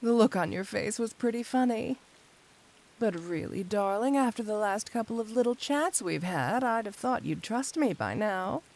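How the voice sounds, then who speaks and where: A woman's voice: medium pitch, lively intonation, darkish tone, lightly acted. A woman playing a flirty, teasing supervillain cat burglar in an intimate ASMR roleplay, speaking coyly to the listener. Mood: playful, flirty. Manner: coy, teasing, intimate, unhurried.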